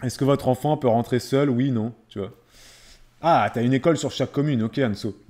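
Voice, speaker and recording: Mellow-voiced man chatting casually on a livestream. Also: mid-range voice, brisk. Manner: conversational, repeats phrases for emphasis. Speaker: live streamer reading viewers' chat. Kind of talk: casual live-stream chat.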